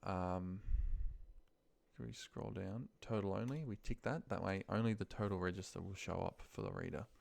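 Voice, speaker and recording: A man with a deep voice and a gravelly fry walks someone through office work on his screen. He thinks aloud casually and haltingly, half muttering to himself as he goes. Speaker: trainer demonstrating office data work. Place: voice-over of a screen recording.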